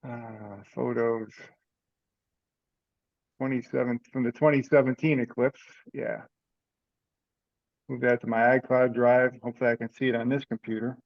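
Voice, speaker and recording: A man leads an online meeting in a plain, conversational voice at a middle pitch. He talks informally and haltingly, thinking aloud as he goes. The sound is a slightly dull video-call recording with a dry, close room. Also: level tone, gravelly, no room sound. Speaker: online meeting presenter for an amateur radio group. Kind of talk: informal meeting update, unscripted.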